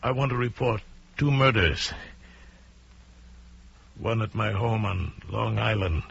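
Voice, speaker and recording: A man's voice: fairly low pitch, slow pace, creaky, fairly bright tone, slightly rough, heavily acted. An American actor giving a dramatic radio suspense performance as a storyteller and an anguished, scheming man in love. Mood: broken, resigned. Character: anguished scheming murderer, a lovestruck man telling his downfall. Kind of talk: 1950s radio suspense acting, dramatic narration. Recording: muffled vintage radio recording, music underneath.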